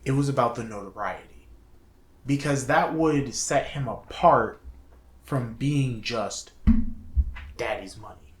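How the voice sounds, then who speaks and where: Male show co-host, unhurried and halting, chatting casually in an echoey room. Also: mid-range voice, a little hiss or hum. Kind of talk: casual film and game review discussion. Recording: roomy, echoing sound.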